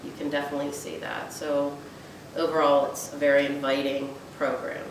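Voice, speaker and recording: A woman's voice: monotone, normal speed. A woman schoolteacher speaking informally, as if answering interview questions. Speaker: school teacher. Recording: echoey room from far off, noisy rumble.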